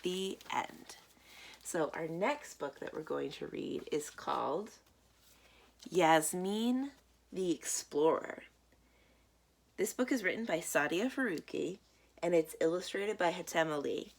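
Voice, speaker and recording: A woman reading children's stories aloud as a library storytime reader, in a deliberate, expressive way. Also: fairly low pitch, gravelly.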